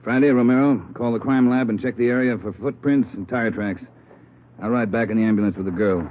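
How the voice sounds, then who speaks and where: A man's voice: medium pitch, fairly even intonation, a little fast, natural voice. A man playing a commanding, curt police captain in a 1940s radio drama. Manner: curt, authoritative. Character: gruff, commanding male police captain, brisk boss. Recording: tinny, slightly noisy old radio recording.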